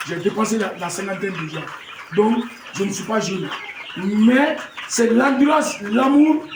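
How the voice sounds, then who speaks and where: Proud Malian man, high and echoey. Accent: Malian French. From Mali. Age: 50s. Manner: proud, repetitive, stumbling restarts. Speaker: poultry breeder. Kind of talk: farm tour talk to camera. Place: poultry farm with clucking hens. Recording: echoey, far from the mic, noisy farm.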